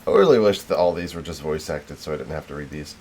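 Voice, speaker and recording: A man's voice: a bass voice, animated, fast talker, a little mellow. A man live streaming a game, chatting casually in a laid-back way with some casual swearing.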